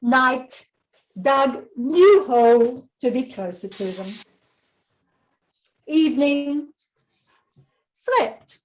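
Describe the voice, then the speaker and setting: An older woman reading aloud as a lazy, demanding wombat, in terse, deliberate diary entries. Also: high voice, heavily acted, rough recording. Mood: sleepy, content. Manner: terse, deliberate, deadpan self-importance. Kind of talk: picture book read-aloud.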